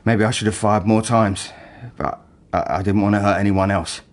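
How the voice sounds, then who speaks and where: An actor playing an embittered, broken working man making a halting, emotionally raw confession in a radio drama. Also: on the low side, fairly even intonation, close to an everyday voice. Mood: subdued, halting. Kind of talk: naturalistic radio drama acting.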